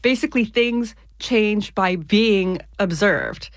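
A woman from the United States, an author being interviewed on the radio, explaining ideas clearly with everyday examples. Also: mid-range voice, expressive, slow, no room sound, clean studio sound.

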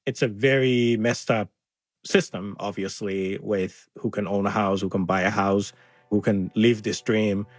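A man's voice: fairly low pitch, steady tone, a little slow, no echo. Earnest man. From Somalia. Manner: earnest, voices others' words animatedly. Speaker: interview guest. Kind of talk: conversational interview.

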